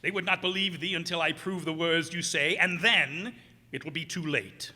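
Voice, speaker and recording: An actor playing a bitter, menacing cursed sea captain in a radio play, with grandiose, archaic thee-and-thou speech. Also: male voice, fairly high pitch, normal speed, pushed and bright. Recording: echoey hall stage recording.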